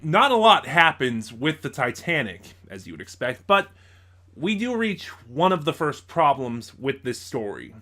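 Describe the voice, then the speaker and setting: A man giving an informal spoken review as host, in a halting way, stumbling over words and correcting himself. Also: on the high side, big pitch swings, bright, forceful tone.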